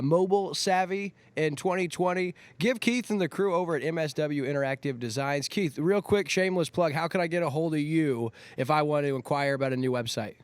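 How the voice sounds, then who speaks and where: A man's voice: on the high side, smooth, no echo. A man hosting a show with casual, joking and teasing banter. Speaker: TV show host.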